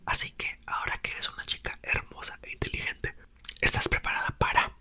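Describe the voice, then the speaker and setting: A man voicing a gentle, hesitant mother who is also a scientist, explaining softly in an ASMR whisper. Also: a little fast. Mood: tender.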